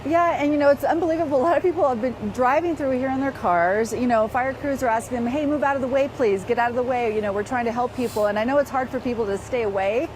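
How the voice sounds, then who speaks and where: A woman's voice: high voice, fast, hardly any pauses, a little mellow, low-quality recording. A woman reporting live from outdoors on a street, ad-libbing conversationally with lots of "you know". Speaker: field reporter. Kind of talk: unscripted live breaking-news report. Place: outdoors on a residential street at an emergency scene. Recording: clear outdoor street noise.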